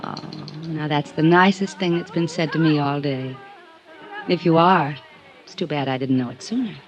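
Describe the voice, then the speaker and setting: An actress playing a bored, flirtatious vacationer, coy, wry and worldly, in 1950s radio noir style. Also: female voice, deep voice, moderate pace, faint music behind, natural voice. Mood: teasing, flirtatious. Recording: dull vintage radio recording.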